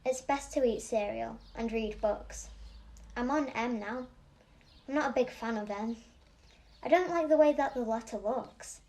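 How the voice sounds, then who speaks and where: A watchful, literal-minded eight-year-old girl from in a radio-style monologue. The voice is soft-toned and a little high, with an earnest, matter-of-fact delivery. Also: measured pace. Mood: matter-of-fact, quiet. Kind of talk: audio play monologue.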